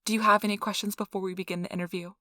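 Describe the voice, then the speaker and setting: A woman's voice: medium pitch, little pitch movement, rapid-fire, pushed and bright, dry recording, studio quality, natural voice. A woman playing a chatty, officious and reassuring interviewer at a vampire blood donation center in an ASMR role-play. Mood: polite, businesslike.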